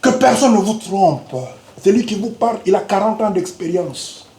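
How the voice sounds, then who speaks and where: A man in his fifties preaching a Pentecostal sermon, whose first language is Lingala, moving between quiet asides and loud exhortation. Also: high-pitched, moderate pace. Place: church hall. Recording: echoey church hall with faint noise.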